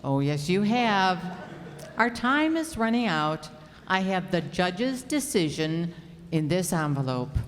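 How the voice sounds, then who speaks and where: A woman playing a stiff, hesitant contest announcer in a radio sitcom, halting and formal, recorded in an echoey hall. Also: on the low side, very animated, slight background noise. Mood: fed up. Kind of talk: old-time radio sitcom acting.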